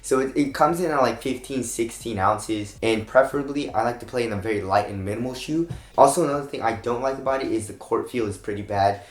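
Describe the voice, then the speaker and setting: A man hosting a casual shoe review, talking straight to his viewers with a voice still slightly hoarse from a cold. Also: medium pitch, a little fast, few pauses, reverberant. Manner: chatty, addresses viewers directly as "you guys". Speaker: shoe review channel host.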